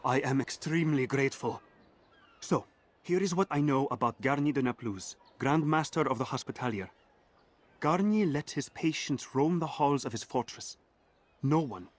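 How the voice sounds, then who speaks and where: A man's voice: mid-range voice, natural voice. A man playing a conspiratorial informant in a video game, speaking in a formal, expository way. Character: grateful, conspiratorial male informant. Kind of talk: video game character acting.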